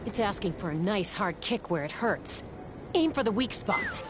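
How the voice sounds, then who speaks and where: An actress playing a determined, composed young female adventurer in a video game, brisk and decisive. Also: mid-range voice, animated, over loud music, natural voice. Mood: battle-ready.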